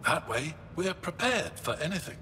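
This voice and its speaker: An actor playing an upbeat alien male lead researcher who talks in a brisk, matter-of-fact way. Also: a little high, sing-song, bright, forceful tone, a little hiss or hum. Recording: echoey game audio with ambience.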